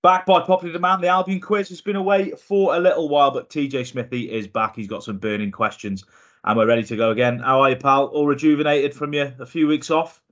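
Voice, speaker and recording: A man's voice: fairly high pitch, fairly even intonation, quick pace, fairly bright tone. Man hosting a football podcast. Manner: upbeat, competitive, thinks aloud while guessing. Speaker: podcast host. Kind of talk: casual football podcast chat. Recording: dull lo-fi podcast audio.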